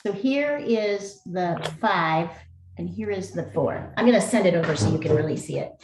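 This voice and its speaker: A woman's voice: fairly low pitch, animated, moderate pace, a little mellow, echoey room, a little hiss or hum. A woman in her forties from Seattle, an art teacher chatting with filler words and encouraging students over a video call. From Seattle, USA. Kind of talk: casual chat and teaching.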